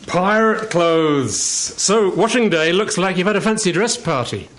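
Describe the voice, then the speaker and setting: A man's voice: sits high, lively intonation, unhurried, fairly bright tone. A man playing a curious, cheeky office co-worker in a radio play, chatting in a teasing, conversational way. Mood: amused, curious. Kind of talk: stage radio play acting.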